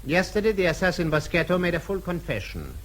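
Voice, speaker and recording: An actor playing a cold, menacing senior official in a radio drama, speaking in a formal, clipped and precise way. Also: male voice, high-pitched, big pitch swings, quick pace. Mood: coldly insistent.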